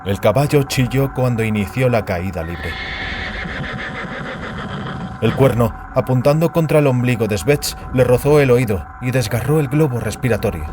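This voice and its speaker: A man's voice: medium pitch, moderate pace, few pauses. A man reading a science fiction story as a scripted, dramatic audiobook narration. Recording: music under the voice. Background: background music.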